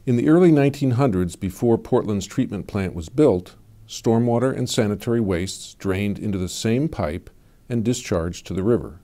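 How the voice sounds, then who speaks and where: A man giving measured, scripted voice-over narration for an explanatory video. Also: on the low side. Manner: measured, even informational delivery.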